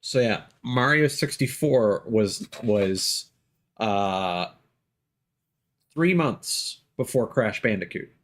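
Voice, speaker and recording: A man's voice: fairly low pitch, lively intonation, slow. A man doing casual gaming commentary as a live streamer, rambling and opinionated as he thinks aloud. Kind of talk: casual gaming commentary, chatting while playing.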